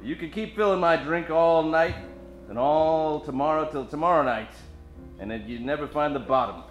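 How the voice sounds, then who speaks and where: A man's voice: on the high side, moderate pace, roomy sound, dull audio, soft background music, natural voice. A man playing a world-weary, hard-drinking detective in an old-time radio parody, wry and self-deprecating. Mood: resigned.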